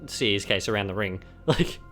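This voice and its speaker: A young man co-hosting a casual gaming podcast, giggling and rambling with lots of fillers while his voice is going hoarse. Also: fairly low pitch, normal speed, sharp tone, faint music behind. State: losing his voice. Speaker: podcast co-host. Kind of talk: casual chat.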